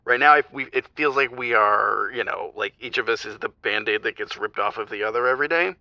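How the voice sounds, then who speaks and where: An actor playing a heartbroken, self-blaming husband calling a radio show in an audio drama, halting and confessional. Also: male voice, mid-range voice, vocal fry, bright, forceful tone, no echo. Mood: weary, confiding. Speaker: radio call-in show caller. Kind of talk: naturalistic audio drama acting. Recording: dull, band-limited phone-call sound.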